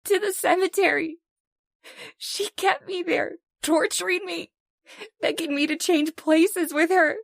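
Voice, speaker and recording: An actress playing a frightened, pleading wife in an old-time radio horror play, anxious and breathless. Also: female voice, very high-pitched, fairly even intonation, measured pace, creaky, no room sound, clean studio sound, exaggerated voice. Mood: terrified, pleading.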